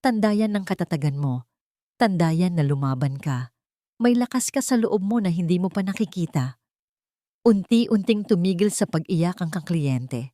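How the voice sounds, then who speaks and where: A woman narrating a scripted dramatic love story in short, deliberate phrases. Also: on the low side, mellow, dry recording, clean studio sound.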